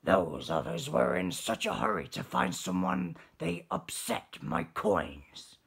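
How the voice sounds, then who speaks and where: A man's voice: deep voice, huge pitch swings, slow pace, vocal fry, a bit bright, slightly rough, voice pushed down, heavily acted. A man voicing a grumpy, miserly lobster in a storybook, growling and grumbling over his treasure.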